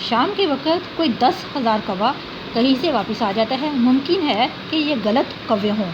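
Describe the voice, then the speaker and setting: Woman teacher reading and glossing words. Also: sits high. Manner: reads a sentence, then glosses hard words with "yani". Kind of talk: reading a text aloud and explaining words for students. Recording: dull, lo-fi recording with clear background noise.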